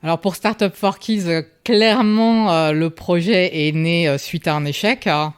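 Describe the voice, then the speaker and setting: A woman's voice: on the low side, unhurried, clear, resonant tone. A woman in her forties, a startup founder, talking casually and reflectively as an interview guest, often tagging her sentences. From Paris, France. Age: 40s. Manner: relaxed, reflective, tags sentences with "hein".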